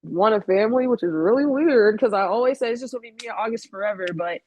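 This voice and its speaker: A woman's voice: a little high, lively intonation, quick pace, darkish tone, no echo, poor audio. A woman in her late twenties, a podcast guest chatting casually, candid and blunt with frequent swearing and laughter slipping into her sentences. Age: 20s. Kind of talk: casual interview chat.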